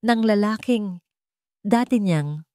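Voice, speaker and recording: A woman with a Filipino accent narrates a dramatic scripted story with emotive, deliberate phrasing. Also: mid-range voice, lively intonation, dark tone, no room sound, clean studio sound.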